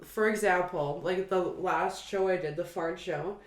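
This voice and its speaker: A woman's voice: lowish voice, a little slow. A woman in her twenties chatting casually as co-host, rambling with many fillers and frequent laughter. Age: 20s. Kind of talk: casual chat. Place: shared house, indoors. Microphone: table microphone. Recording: echoey room, off-mic.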